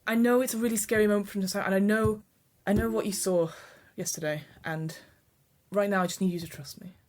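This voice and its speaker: A woman's voice: medium pitch, steady tone, darkish tone, close to an everyday voice. A woman playing an urgent woman on the run, slight Irish lilt. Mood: urgent, pleading. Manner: hurried, pleading.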